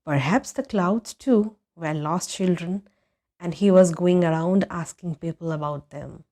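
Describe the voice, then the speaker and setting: Slow, expressive woman storyteller. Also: lowish voice. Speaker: audiobook reader. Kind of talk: expressive scripted story narration.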